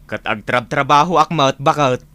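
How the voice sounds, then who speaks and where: A man's voice: a little high, moderate pace, sharp tone, dry recording. A man playing a grumbling, idle husband and father who whines and complains in an Ilocano radio comedy drama.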